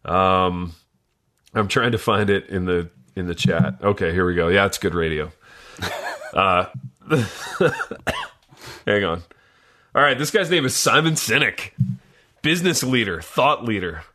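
A man's voice: deep voice, expressive, unhurried, pauses often, pushed and bright. A man hosting a podcast in casual, slangy banter, jokey and dryly mock-serious. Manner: jokey, slangy, addresses co-hosts as dude and baby. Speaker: podcast host.

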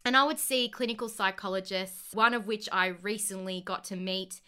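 A woman's voice: mid-range voice, steady tone, normal speed, bright, forceful tone, clean tone. An Australian woman in her late twenties, a podcast guest, talking in a bubbly, earnest way and laughing often. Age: 20s. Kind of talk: casual podcast chat.

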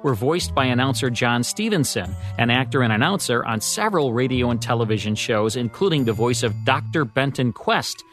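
A man's voice: medium pitch, animated, brisk, hardly any pauses, a bit bright, soft background music. An American man co-hosting a radio program, reading scripted narration in a presenter's style. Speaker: radio show co-host and producer. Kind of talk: scripted two-host narration about radio history.

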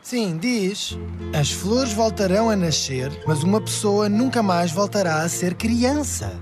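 An actor voicing a gentle, playful dog parent in a dubbed children's cartoon. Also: medium pitch, animated, measured pace, music in the background, close to an everyday voice.

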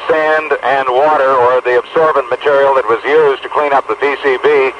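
An American man reports live from a street in a halting manner. His medium-pitched voice comes over a dull news line with clear noise around it. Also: fairly even intonation. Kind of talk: live on-scene radio report. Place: outdoors on a city street.

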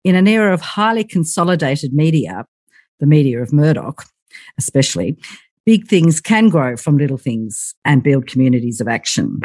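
Woman, podcast host, low husky voice, earnest read. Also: very animated, moderate pace, a little mellow. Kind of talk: scripted podcast intro and interview.

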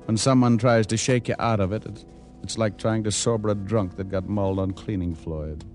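Guy acting tough wisecracking gumshoe, kinda low voice, deadpan, old time radio show w music under it. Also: normal speed, close to an everyday voice. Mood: deadpan narrating. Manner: wry, deadpan, slangy. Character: wisecracking hard-boiled male private detective. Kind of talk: 1940s-50s radio noir acting and narration. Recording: vintage radio recording with music behind.

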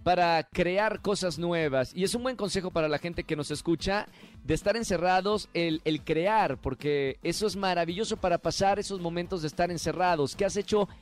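A man hosting a live radio interview, upbeat, with drawn-out hesitations. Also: sits high. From Mexico. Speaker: radio host.

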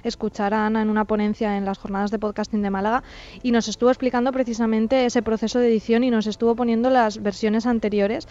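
Spanish lady on a panel, high-ish flat intonation, casual chat, audio a bit dull. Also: quick pace. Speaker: podcast panelist. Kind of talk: casual discussion. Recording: slightly dull, limited-band audio.

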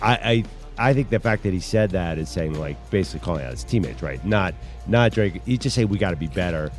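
A man co-hosting a sports talk show in easygoing, lively banter. His voice is on the low side. Faint music sits in the background. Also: brisk. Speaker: sports radio co-host.

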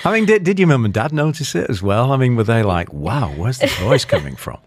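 A man's voice: medium pitch, big pitch swings, fairly quick, slight background noise. A male radio host chatting casually with guests, asking curious questions and teasing lightly. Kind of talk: casual interview chat.

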